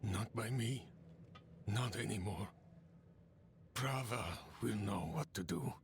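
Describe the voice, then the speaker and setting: A defeatist guard in a fantasy game. He is weary and anxious, with a lowish voice and very slow, resigned delivery over faint ambience. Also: creaky, a little hiss or hum. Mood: bitter, resigned. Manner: resigned, sighing, hesitant. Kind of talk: dramatic video game cutscene acting.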